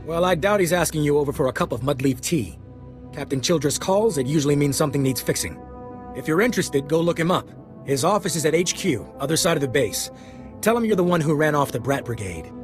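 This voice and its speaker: A man plays a casual, irreverent soldier from a sci-fi game. His voice is slightly high. Music plays faintly behind him. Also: expressive, fairly quick, lightly acted. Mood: offhand. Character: breezy, irreverent male Republic soldier. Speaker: voice actor in a video game. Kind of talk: scripted game cutscene dialogue.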